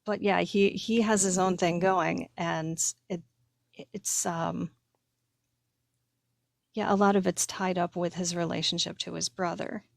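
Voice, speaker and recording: A woman co-hosting a podcast in a casual, informal way, halting often as she works out her thoughts. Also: lowish voice, steady tone, gravelly, no echo, clean studio sound. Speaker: podcast co-host. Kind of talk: casual chat.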